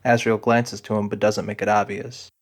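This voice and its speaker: Guy narrating fantasy audio drama, lowish voice, pretty flat, music in the back. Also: moderate pace.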